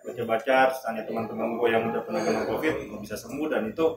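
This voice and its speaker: A man's voice: lowish voice, fairly even intonation, rapid-fire. Matter-of-fact Jakarta man, echoey distant mic. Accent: Jakarta Indonesian slang. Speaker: guest. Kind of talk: casual chat. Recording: echoey room, mic far off, with noise behind.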